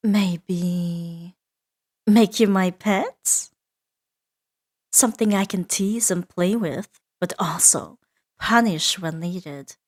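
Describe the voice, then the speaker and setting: A woman voicing a seductive, menacing and playful female demon in a roleplay ASMR audio drama, teasing and imperious, drifting into breathy whispers. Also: on the low side, takes it slowly. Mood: sly, musing.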